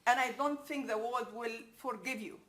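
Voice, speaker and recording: Female, slightly high voice, grave and deliberate delivery of a written victim statement. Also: fairly bright tone. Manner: grave, deliberate, direct address. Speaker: bereaved relative reading a victim impact statement. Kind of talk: prepared statement read aloud.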